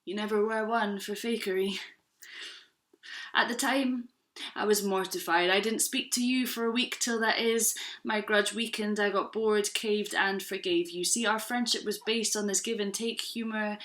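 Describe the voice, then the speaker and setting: Bright-voiced woman reciting spoken word. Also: mid-range voice, steady tone, moderate pace. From Scotland. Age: 20s. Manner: rhythmic, earnest recitation. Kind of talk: spoken word poetry performance.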